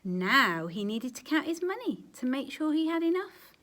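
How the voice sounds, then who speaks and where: Woman reading a kids story out loud, super expressive n dramatic, voice goes up and down loads. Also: sits high, normal speed. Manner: animated, dramatic emphasis, quiet asides to young listeners. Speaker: storyteller, teacher. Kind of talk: expressive children's storybook read-aloud.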